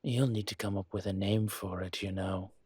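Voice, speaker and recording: A man playing a courtly, possessive dragon mafia boss in an intimate audio roleplay, speaking in a suave, teasing, formal way with a husky voice. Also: deep voice, steady tone, normal speed, a little mellow, dry recording. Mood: warm, celebratory. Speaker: voice actor.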